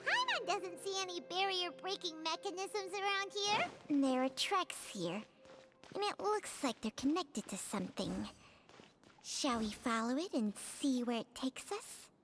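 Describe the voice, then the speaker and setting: A woman voicing a tiny, excitable childlike fairy companion in a video game, with a squeaky, chirpy voice. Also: unhurried, exaggerated voice. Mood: curious. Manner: refers to itself in third person, exclamatory. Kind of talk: English video game voice acting. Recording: game music underneath.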